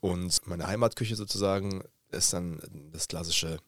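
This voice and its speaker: A young adult man with a slight cold, co-hosting a podcast in a relaxed, joking way with many fillers. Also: deep voice, a little slow, no echo, clean studio sound. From Germany. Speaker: podcast co-host. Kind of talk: casual chat.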